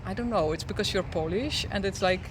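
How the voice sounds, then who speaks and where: A man's voice: high voice, brisk. A man chatting casually as an interviewer, in a conversational and agreeable way. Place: outdoors in a city. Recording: noisy outdoor urban street, traffic.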